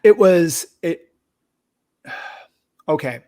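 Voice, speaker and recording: Man, fairly high voice, halting delivery, casual review show host, restarts his phrases. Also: lively intonation, darkish tone. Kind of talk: casual movie discussion.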